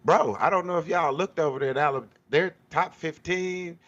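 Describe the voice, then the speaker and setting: A man's voice: on the high side, brisk, a bit bright, lo-fi sound. A man talking sports casually on a panel, blunt and informal, often circling back to restate his point. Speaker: sports talk show guest or panelist. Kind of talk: casual unscripted sports debate.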